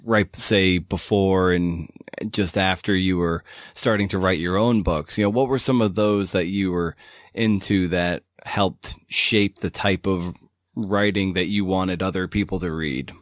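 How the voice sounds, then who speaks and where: A man with a lowish voice hosts a casual interview podcast. He puts his questions in a loose, rambling, conversational way. The sound is close and very dry, free of room echo. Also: steady tone, unhurried. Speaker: podcast host.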